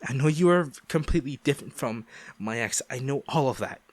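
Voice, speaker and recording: A man acting a guilt-ridden ex-boyfriend pleading for forgiveness in an intimate audio roleplay, halting and emotional. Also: mid-range voice, expressive, dry recording, natural voice. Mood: regretful, earnest. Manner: halting, emotional, sighing, repeats himself.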